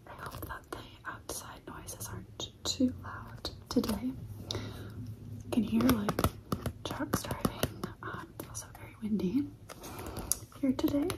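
Whispering young woman, slow ASMR. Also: on the high side, rough recording. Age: 20s. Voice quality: breathy whisper. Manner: soft, unhurried, repeats words slowly. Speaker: ASMR video creator. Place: living room, indoors.

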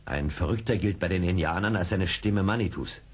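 An actor playing a seasoned, brave frontier scout, commanding and deliberate, in a radio play. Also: male voice, deep voice, slightly rough. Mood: respectful, earnest. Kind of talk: 1970s German radio play acting.

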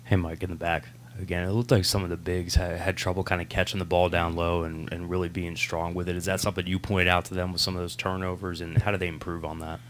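A man's voice: low voice, level tone, quick pace, hardly any pauses, fairly bright tone, a little hiss or hum. A man, a reporter, asking the coach direct questions at a press conference.

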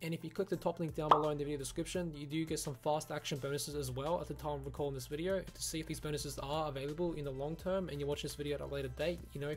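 A man giving a casual, rambling software review, often saying "you know" and "like". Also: a little high. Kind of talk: casual screen-recorded review. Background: background music.

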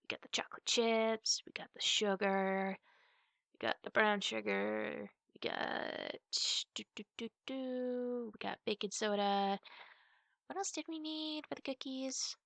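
A woman playing a playful, teasing, affectionate big sister in an ASMR roleplay, chatting to the listener with drawn-out words and hushed asides. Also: on the high side, vocal fry, dry recording. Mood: busy, absorbed.

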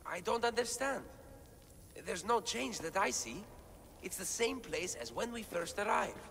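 High-pitched, fretful scholar. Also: male voice, expressive, a little slow, a bit bright, heavily acted. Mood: distraught. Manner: fretful, plaintive, emphatic. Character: anxious, self-doubting male scholar translating ancient relics. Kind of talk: dramatic video game cutscene acting. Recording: long cavernous reverb, clear background noise.

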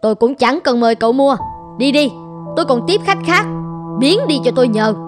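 A woman's voice: sits high, animated, brisk. A woman playing a haughty, mocking middle-aged tavern landlady in a cartoon. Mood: haughty, dismissive. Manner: dismissive, mocking. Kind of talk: cartoon dub acting. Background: music.

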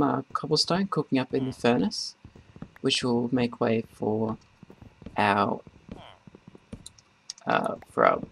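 A man giving casual, rambling gameplay commentary in a halting way as host of a gaming video. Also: mid-range voice, creaky. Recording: noisy, keyboard and mouse clicks.

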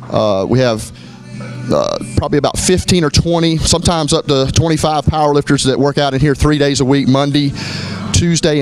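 A middle-aged man from Florida, a gym owner giving a casual walkthrough of his gym, talking in a relaxed way with lots of fillers and restarts. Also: medium pitch. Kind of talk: walkthrough tour, casual narration. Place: gym indoors, moving through rooms.

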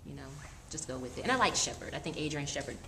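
A woman's voice: low voice, lively intonation, racing, pushed and bright, a little hiss or hum. A woman in her thirties chatting as a guest, easygoing and matter-of-fact. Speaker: guest mom. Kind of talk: casual chat.

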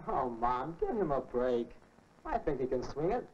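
A man's voice: mid-range voice, very animated, normal speed, natural voice. A young man acts a self-assured American older brother in his teens, breezy and easygoing, in a 1940s school film. The soundtrack is old and muffled, with faint noise. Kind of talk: 1940s instructional film acting.

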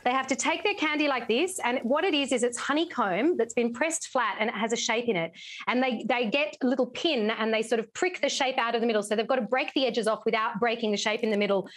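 A woman's voice: fairly high pitch, quick pace. A woman giving an upbeat step-by-step cooking demonstration over a video call. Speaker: cooking guest.

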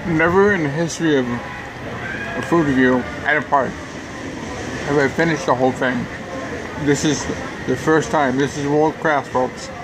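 Higher-voiced man vlogging in outdoor noise. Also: measured pace, pauses often. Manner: enthusiastic, talking between bites. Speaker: food review vlogger. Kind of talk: casual food review to camera. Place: outdoors at a theme park table. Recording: noisy outdoor recording with background hum.

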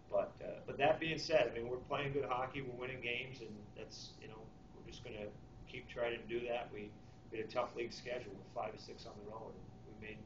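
A middle-aged man, a hockey coach, answering reporters after a game, halting with fillers and restarts. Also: medium pitch. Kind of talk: postgame press conference answers. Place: small press room with draped table. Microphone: far camera. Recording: echoey room, far mic, dull lo-fi, noisy.

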